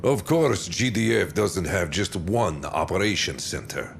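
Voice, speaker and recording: An actor playing a smooth, calculating military commander in a video game, menacing and self-assured, speaking deliberately with weighty pauses. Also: male voice, low voice, expressive, a little hiss or hum, exaggerated voice. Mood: cool, conspiratorial. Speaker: military commander briefing a general. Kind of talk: scripted video game cutscene briefing.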